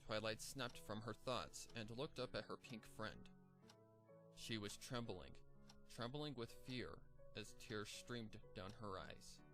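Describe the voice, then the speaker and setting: A man narrating a dark fan story in a measured, grim storytelling manner over background music. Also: on the low side. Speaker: fanfiction narrator. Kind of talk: dramatic story reading.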